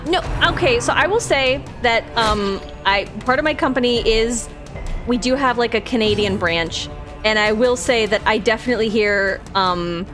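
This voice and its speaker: A woman with a Minnesota accent, streaming a game and chatting casually in a rambling way, laughing easily. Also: on the high side. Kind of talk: casual chat while playing.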